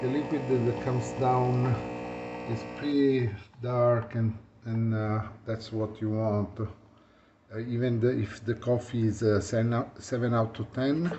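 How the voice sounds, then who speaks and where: A man with an Italian accent, demonstrating an espresso machine in halting, searching English and getting excited about the crema. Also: medium pitch. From Italy. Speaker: product reviewer. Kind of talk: casual hands-on demo. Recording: dull lo-fi sound with mains hum.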